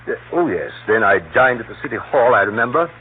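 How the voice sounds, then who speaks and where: An actor playing an affable, respectable older gentleman with a clubman's bluster, in 1930s radio drama style. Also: medium pitch, lively intonation, fast talker, lightly acted. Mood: musing. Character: genial older club gentleman, respectable, affably indignant uncle. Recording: noisy, tinny 1930s radio broadcast.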